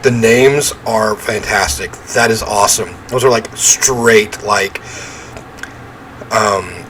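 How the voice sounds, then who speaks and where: A man's voice: on the low side, background noise. A man reading a visual novel aloud as a game streamer, mixing dramatic narration with wry casual asides. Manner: throat-clearing, wry asides.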